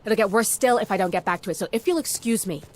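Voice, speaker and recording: A woman playing a harried, blunt, overworked military medic in a video game, brisk and businesslike. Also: medium pitch, close to an everyday voice. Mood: harried, grim. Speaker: actor voicing a game character. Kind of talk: cinematic video game acting.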